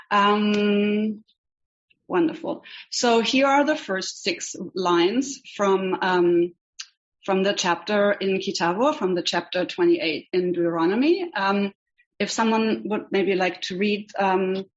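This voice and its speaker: A woman's voice: medium pitch, a little slow. A woman from Germany with a German accent, a rabbinical student teaching an online class, hesitant and full of ums. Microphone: video call. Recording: dull lo-fi video call audio.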